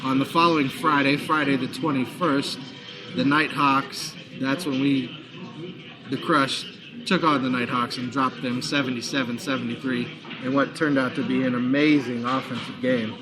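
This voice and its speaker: A man with a hoarse voice hosting a live sports talk show, chatty and promotional, from a busy bar. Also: medium pitch, steady tone, normal speed. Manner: chatty, promotional, frequent fillers. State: hoarse, recovering lost voice. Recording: noisy bar crowd behind. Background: crowd chatter.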